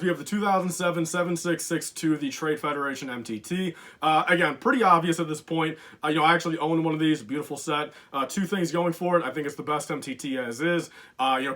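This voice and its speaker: A man's voice: on the high side, a bit bright. A young man in a casual countdown vlog, rambling with many fillers, enthusiastic, and losing his voice. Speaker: LEGO collector YouTuber.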